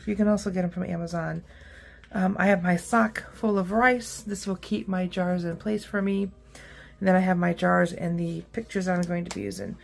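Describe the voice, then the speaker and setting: Craft-tutorial host: woman, hoarse today, heavy vocal fry, mid-pitched, chatty and filler-heavy. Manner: chatty and upbeat, with frequent ums and uhs. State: rough, hoarse voice. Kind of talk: casual how-to craft demonstration.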